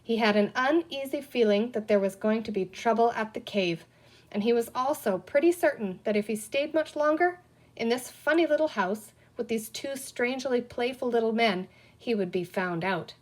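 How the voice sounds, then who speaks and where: A woman reading a children's book aloud as an expressive storyteller. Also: a little high, normal speed. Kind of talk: expressive scripted story narration.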